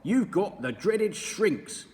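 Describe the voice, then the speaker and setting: A man playing a nasty old man, gloating, taunting, loud and raised, echoing in a hall. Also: sits high, very animated, slow pace, voice pushed up, heavily acted. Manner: taunting, mock-solemn.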